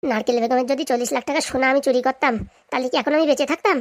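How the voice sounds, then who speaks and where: A woman's voice: high-pitched, racing, dry recording, clean studio sound, natural voice. A woman voicing an indignant, sarcastic villager in a comic cartoon, argumentative and long-winded. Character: cheeky, indignant villager accused of theft, talking back.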